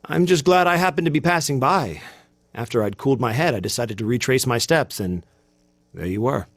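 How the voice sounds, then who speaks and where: Stiff, animated teenage male cadet. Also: medium pitch, brisk, no echo. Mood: awkward. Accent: American. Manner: formal, hesitant, self-conscious. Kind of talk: video game voice acting.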